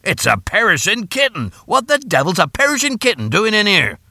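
A man voicing a blunt, grumbling farmer father in a storybook reading, exasperated but soft-hearted underneath. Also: a little high, very animated, normal speed, vocal fry, no room sound, voice pushed up, full character voice. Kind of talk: storybook character voice.

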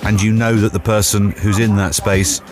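A man's voice: on the low side, little pitch movement, moderate pace, over loud music. A man hosting a radio phone-in show, questioning callers and guests in a chatty way.

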